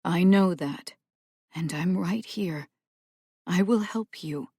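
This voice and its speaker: A woman voicing a calm, gentle woman who soothes others softly but firmly. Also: on the low side, unhurried, husky, no room sound, studio quality. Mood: soothing. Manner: soothing, firm, soft.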